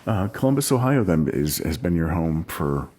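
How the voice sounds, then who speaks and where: A man's voice: sits low, sing-song, normal speed, a little mellow. A man hosting a podcast who keeps up a casual interview with short, supportive interjections. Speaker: podcast host.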